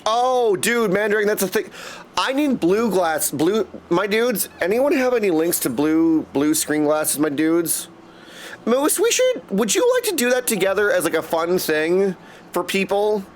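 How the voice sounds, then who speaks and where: Male streamer, high voice, very animated intonation, friendly casual chat, gameplay livestream. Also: measured pace, slight background noise. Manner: friendly, chatty, greets viewers by name. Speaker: game streamer. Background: music.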